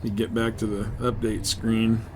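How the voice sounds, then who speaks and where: A man's voice: on the low side, monotone, normal speed, noisy background. A man giving casual, slangy live commentary as he streams a video game. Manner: informal, slangy.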